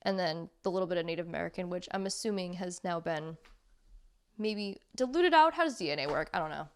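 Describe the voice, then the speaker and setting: A woman co-hosting a podcast in casual chat, talking in rambling, chatty runs full of quick asides. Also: lowish voice, lively intonation, clean tone. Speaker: podcast co-host.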